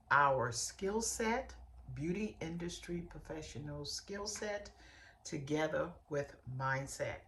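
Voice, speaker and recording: Raspy-voiced woman with a summer cold. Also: slight background noise. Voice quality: raspy, bassy voice. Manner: warm, chatty, direct address to listeners. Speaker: podcast host, hairstylist and coach. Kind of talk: motivational podcast lesson.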